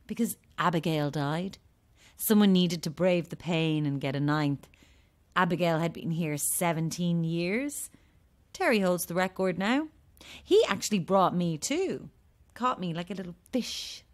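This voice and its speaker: A woman's voice: lowish voice, expressive, a little slow. An actress playing a sardonic, world-weary woman trapped at an endless cursed party, dry and blunt, in an audio drama. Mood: matter-of-fact.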